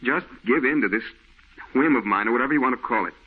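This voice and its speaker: A muffled, tinny vintage radio recording carries an actor as a haunted man with a husky, mid-pitched voice. He is secretive and fearful. His delivery is brooding, melodramatic horror acting. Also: fast talker, close to an everyday voice. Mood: guarded, brooding. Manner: brooding, tense, evasive, melodramatic.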